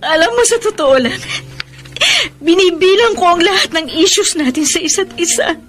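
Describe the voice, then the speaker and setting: A woman's voice: very high-pitched, normal speed. An actress playing a heartbroken but tender wife and mother in a Filipino radio drama, speaking emotionally through tears with a Filipino accent. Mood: tearful, resolute. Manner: emotional, pleading, code-switching speech. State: crying. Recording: music bed under the voice.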